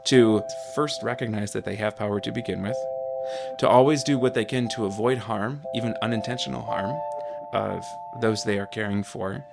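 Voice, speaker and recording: A man speaking as a counselor, explaining in a measured, careful way. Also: fairly low pitch, gravelly, loud music behind the voice. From Michigan. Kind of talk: interview commentary.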